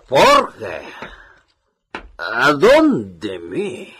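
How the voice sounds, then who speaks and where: An actor playing a cruel, short-tempered, haughty landowner husband in a radio drama, domineering and throwing in Spanish exclamations. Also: male voice, mid-range voice, huge pitch swings, drawn-out words, fairly bright tone, a little hiss or hum, full character voice. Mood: haughty, questioning. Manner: domineering, mixes Spanish exclamations into his speech. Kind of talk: radio comedy drama acting.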